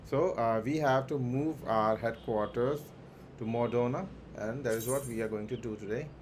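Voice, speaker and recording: A man hosting a game livestream with casual commentary, halting and repeating himself as he thinks aloud. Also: medium pitch, background noise. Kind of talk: casual live gameplay commentary. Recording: music behind the voice. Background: clear background music.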